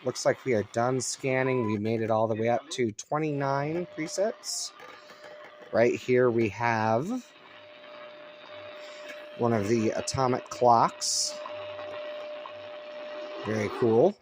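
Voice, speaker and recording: American man, casual hobbyist vlogger, medium-pitched, musing and unhurried in manner, recorded at home with plainly audible radio static behind him. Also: expressive. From Colorado, United States. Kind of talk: casual off-the-cuff demonstration commentary. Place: upstairs bonus room at home. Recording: home recording with clear background noise. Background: shortwave radio static and stations.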